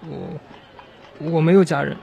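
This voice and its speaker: A man voicing a brave, lonely young orphan street child, earnest and halting, in an audio drama. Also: fairly high pitch, steady tone, creaky, highs cut off. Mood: timid. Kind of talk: Chinese audio drama acting.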